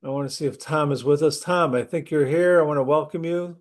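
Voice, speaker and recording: A middle-aged man, a university instructor, opening an online class session while losing his voice from a cold, talking conversationally and clearing his throat. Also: a little high, brisk. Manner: conversational, clears throat often, restarts sentences. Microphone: computer mic on a video call.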